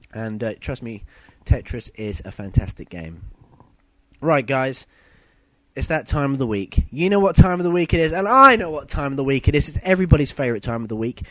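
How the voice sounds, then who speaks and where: A young man hosting a casual podcast, a bit husky from a sore throat, chatty and rambling. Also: mid-range voice, lively intonation, normal speed, a bit bright, no room sound. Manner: chatty, loose and rambling, with fillers and self-corrections. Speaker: podcast host. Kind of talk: casual solo podcast chat.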